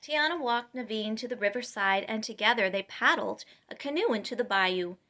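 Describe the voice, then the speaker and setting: Bright, higher-voiced woman reading a storybook. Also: animated, moderate pace, a little hiss or hum. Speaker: storytime reader. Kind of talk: expressive read-aloud of a children's storybook.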